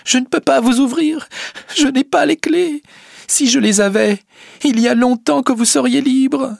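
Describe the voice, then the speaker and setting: A man's voice: on the high side, moderate pace, no echo, clean studio sound, pitched above the performer's own voice, natural voice. A man voicing a distraught, pleading young woman in a storybook reading. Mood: sobbing despair. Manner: breathless, exclaiming, pleading.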